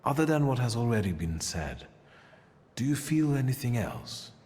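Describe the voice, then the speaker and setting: A man's voice: mid-range voice, big pitch swings, moderate pace, darkish tone, slightly rough. An actor playing a brooding, self-assured male occultist in a period video game, stern and commanding. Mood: grave.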